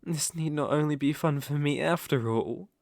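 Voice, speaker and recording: A man's voice: a little high, normal speed, creaky, a little mellow, no echo, clean studio sound. A British man playing a suave, possessive vampire count in an intimate ASMR roleplay, teasing and commanding in theatrical, old-fashioned phrasing. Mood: seductive, amused.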